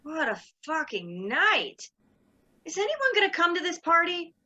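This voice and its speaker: A woman's voice: very high voice, sing-song, unhurried, pushed and bright, heavily acted. A young woman playing a sardonic, exasperated sorority leader in a dramatic reading, theatrical and emphatic. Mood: frustrated, earnest.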